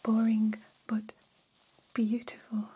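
Lady doing a gentle alien girl for asmr, really breathy whisper, super slow n even, kinda formal. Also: a little high, heavily acted. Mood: gentle, curious. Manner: slow, formal, halting, literal phrasing. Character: gentle, curious female alien fascinated by human hair. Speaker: ASMR performer. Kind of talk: whispered ASMR roleplay, personal attention.

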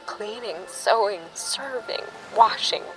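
An actress in a radio play playing a weary, awed young mother, speaking in a hushed, deliberate way. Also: a little high, rough recording. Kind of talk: poetic radio play monologue. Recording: clear music under the voice.

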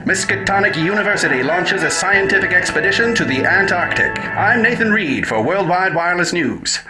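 A man playing a 1930s radio news announcer who reads bulletins in a brisk, formal manner. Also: high-pitched, bright, forceful tone, breathy edge, exaggerated voice, rough recording.

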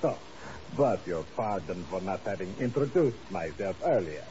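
An actor playing a menacing secret police chief in a 1950s radio drama, smooth, formal and falsely courteous. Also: male voice, deep voice, lively intonation, normal speed. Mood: veiled menace. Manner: smooth, formal, veiled threat. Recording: hissy, dull vintage radio recording.

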